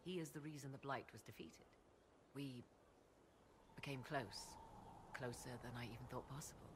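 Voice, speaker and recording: An actress playing a proud, enigmatic sorceress in a video game, speaking in theatrical, archaic and formal phrases. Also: female voice, very deep voice, moderate pace, background noise. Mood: wry, reflective. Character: proud, enigmatic apostate sorceress, arcane advisor. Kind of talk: video game dialogue acting.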